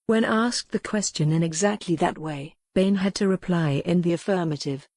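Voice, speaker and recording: A woman narrating a historical mystery novel in a measured, wry storytelling way, recorded at a studio mic. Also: lowish voice, darkish tone, dry recording. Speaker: audiobook narrator. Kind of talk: scripted narration.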